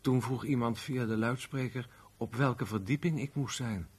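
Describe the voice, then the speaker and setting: A man's voice: mid-range voice, normal speed, slightly rough, natural voice. An actor playing a sullen, grumbling middle-aged tradesman husband in a naturalistic radio play, aggrieved and plain-spoken. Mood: agitated, storytelling. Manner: grumbling, plain colloquial speech.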